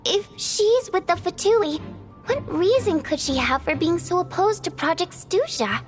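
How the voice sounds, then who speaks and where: Excitable, very high-pitched young woman. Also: unhurried, faint music behind. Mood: thoughtful, earnest. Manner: animated, talkative, exclamatory. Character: excitable, chatty young woman, quick to gasp and fret. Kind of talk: English video game dialogue acting.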